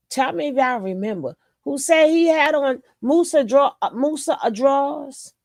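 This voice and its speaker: A woman hosts a casual reaction show while stuffy from a cold. Her voice sits a bit high with a gravelly fry, and it is recorded dry and close. She teases and mocks in loose slang. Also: no echo. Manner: mocking, laughing, slangy, sing-song teasing. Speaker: reaction commentary host.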